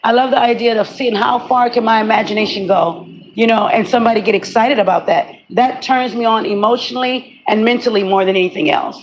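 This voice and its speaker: A woman in her forties, slightly raspy today, giving a candid, chatty motivational talk to her viewers and often repeating herself. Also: a little high, steady tone, fairly quick, a little hiss or hum, low-quality recording. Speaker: webcam model vlogger. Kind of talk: casual motivational monologue.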